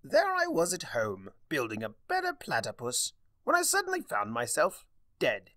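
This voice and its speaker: A man voicing a theatrical, sardonic, mischievous male chaos spirit with a wry, drawn-out delivery in a fan fiction reading. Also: a little high, huge pitch swings, pushed and bright, no echo, clean studio sound, pitched above the performer's own voice, full character voice.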